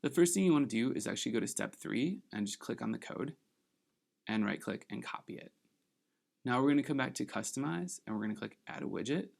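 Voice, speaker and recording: A man hosting a screen-recorded software tutorial, giving upbeat, encouraging step-by-step instructions while slightly losing his voice. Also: medium pitch, animated, fairly quick, vocal fry. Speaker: tutorial host.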